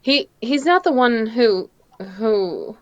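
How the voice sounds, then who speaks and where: A woman's voice: fairly high pitch, expressive, takes it slowly, no echo. A woman playing a nervous, polite, naive photographer with a hesitant stammer, in old-time radio drama style. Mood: uneasy. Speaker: amateur voice actor in live table read.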